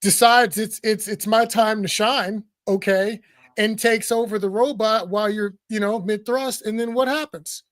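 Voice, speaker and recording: A man with a notably high voice co-hosting a political talk show, blunt and animated, often breaking into laughter mid-sentence. The sound is dry and close, with no room around it. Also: normal speed, dry recording. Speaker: co-host.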